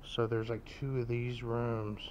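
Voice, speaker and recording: A man giving casual walk-through commentary as a vlogger, rambling and musing aloud in a low-key way. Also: flat delivery, measured pace, darkish tone. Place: large indoor antique mall, walking around. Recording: background noise, store ambience.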